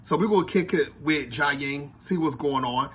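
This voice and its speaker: A man hosts a podcast with a husky, slightly raspy voice that sits fairly high for a man. He talks in a loose, slangy way and reads off his intros with hype. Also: normal speed, a bit bright. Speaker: podcast host. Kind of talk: podcast interview.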